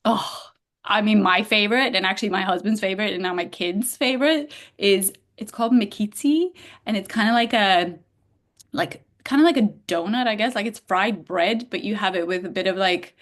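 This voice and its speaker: A woman from Bulgaria, a guest in a casual interview, talking in an animated way with frequent filler words and quick laughs. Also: mid-range voice.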